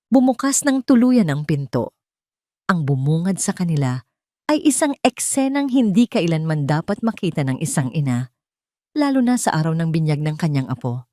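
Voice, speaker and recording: A woman narrating a dramatic story in a scripted storytelling style, slowing down and lifting her voice for tense moments. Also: sits low, dark tone, no echo, clean studio sound.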